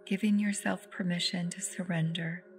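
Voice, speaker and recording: Low-pitched woman guiding a soft meditation. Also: fairly even intonation, slight background noise. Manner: soothing, unhurried, with long pauses. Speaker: guided meditation host. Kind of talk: guided meditation narration. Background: meditative music with singing bowls.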